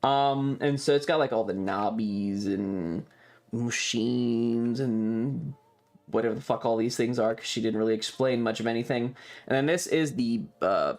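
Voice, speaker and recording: A man's voice: mid-range voice, a little slow, a little mellow. Casual, rambling man chatting on a livestream. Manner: rambling, informal, sweary, offhand. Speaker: streamer and host. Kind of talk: casual livestream chat, describing travel photos.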